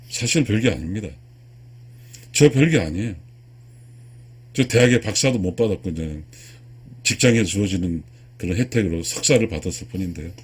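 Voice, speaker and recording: A man in his sixties from South Korea giving a spiritual lecture to viewers, earnest and emphatic, with a husky voice and repeated key phrases. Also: on the low side, a little mellow. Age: 60s. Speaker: lecturer, video channel host.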